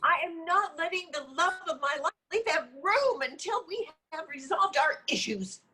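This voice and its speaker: A woman's voice: very high-pitched, lively intonation, normal speed, bright, forceful tone, exaggerated voice. A woman playing a hot-headed, lovesick, stubborn goddess in a comedy screenplay reading, indignant and combative. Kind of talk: comedy screenplay table read. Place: online video call reading.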